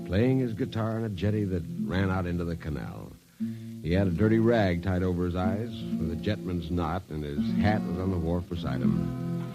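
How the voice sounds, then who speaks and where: A man's voice: sits low, lively intonation, moderate pace. An actor playing an earnest spaceship jetman who tells his tale in an old-time radio drama. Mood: reflective narrating. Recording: old radio recording with music.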